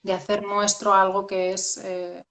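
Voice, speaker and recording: Flat-voiced woman musing on astrology. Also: medium pitch, a little slow, a little hiss or hum. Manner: hesitant, many fillers and restarts. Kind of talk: reflective conversational talk.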